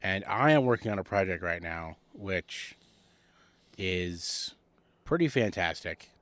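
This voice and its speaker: Deep-voiced joking man on a podcast. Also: lively intonation, slow pace, fairly bright tone, no room sound. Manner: playful, joking interjections. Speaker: podcast co-host. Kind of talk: casual chat. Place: outdoors on a porch.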